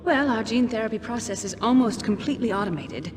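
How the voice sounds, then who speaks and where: A woman's voice: on the high side, expressive, quick pace, faint music behind, lightly acted. A woman playing a clever, composed genetic scientist in a video game, explaining things precisely and matter-of-factly. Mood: composed, explanatory. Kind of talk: video game cutscene voice acting. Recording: reverberant hall, loud background noise.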